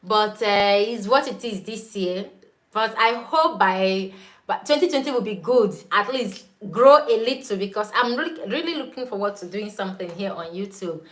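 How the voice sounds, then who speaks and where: A woman's voice: medium pitch, animated, normal speed, fairly bright tone. A young woman with a Nigerian accent, whose first language is Igbo, chatting casually on a livestream, laughing often and greeting viewers by name. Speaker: YouTube host. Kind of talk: casual livestream chat reading viewer comments.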